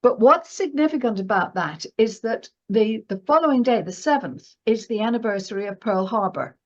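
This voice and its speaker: A woman with a fairly high voice talks as an expert guest in a conversational discussion. She makes her points emphatically and keeps checking that she is being followed. The audio is slightly dull and lo-fi. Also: animated, moderate pace. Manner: emphatic, checks in with "right?" and "you know". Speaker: guest astrologer and market analyst. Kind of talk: conversational interview discussion of financial astrology.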